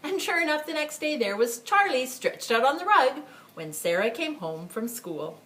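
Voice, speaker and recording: A woman reading a picture book aloud to children as a library storyteller, with expressive, emphatic phrasing and chatty asides. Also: high-pitched, normal speed. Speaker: story time reader for a school library.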